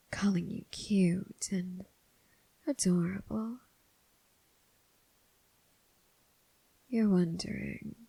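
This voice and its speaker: A woman voicing a seductive, predatory female demon in a teasing, whispered ASMR roleplay, speaking slowly and intimately to the listener. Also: mid-range voice, mellow, dry recording, heavily acted, poor audio. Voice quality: breathy, mostly whispered. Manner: slow, deliberate, teasing, coaxing.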